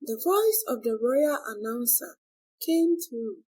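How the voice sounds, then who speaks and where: A woman tells a folktale in a deliberate, dramatic way. Her voice is fairly high and a little husky. She takes her time, with lots of rise and fall in her pitch. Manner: deliberate, expressive storytelling. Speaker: storyteller. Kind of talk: scripted dramatic folktale narration.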